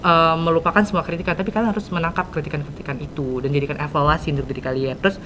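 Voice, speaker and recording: A woman's voice: fairly even intonation, rapid-fire, a bit bright. A teenage young woman with a Jakarta Indonesian accent, hosting a casual podcast chat in informal youth slang. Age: teens. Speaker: podcast host. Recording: rumbly background noise.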